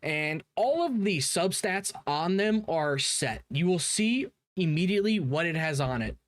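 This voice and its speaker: Higher-voiced guy, casual gaming commentary. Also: animated, measured pace, no room sound. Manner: informal, repeats himself emphatically. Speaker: streamer reacting to a game video.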